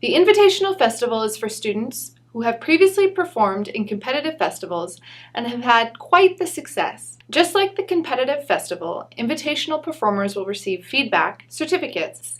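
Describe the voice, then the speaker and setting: A woman from a school's staff reading a scripted announcement in an upbeat, clear way. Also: on the high side, animated, moderate pace.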